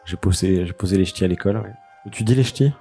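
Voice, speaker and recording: A man's voice: deep voice, fast, warm tone, faint music behind. A man co-hosting a podcast, bantering casually and asking the guest questions. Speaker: podcast co-host.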